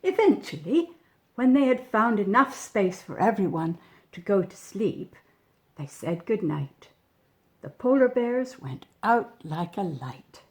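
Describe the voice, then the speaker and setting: Female storybook reader, animated and varied in pitch, slow pace, gentle asides and questions for small children. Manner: gentle, animated, pointing out pictures with questions to the listener. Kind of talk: expressive picture-book reading for young children, with chatty asides.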